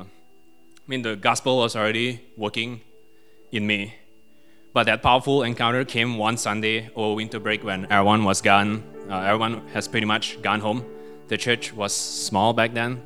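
A young man with a Malaysian accent giving a casual personal testimony at a church retreat, self-deprecating and quick to laugh, with plenty of fillers. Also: on the low side, level tone, pushed and bright, faint music behind. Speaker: guest speaker.

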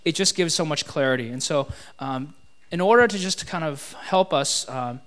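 A man opens a church retreat session and introduces the next speaker, pausing often and restarting his sentences. His voice sits a little higher than most men's. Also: a bit bright. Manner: halting, lots of fillers and restarts. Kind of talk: spoken introduction.

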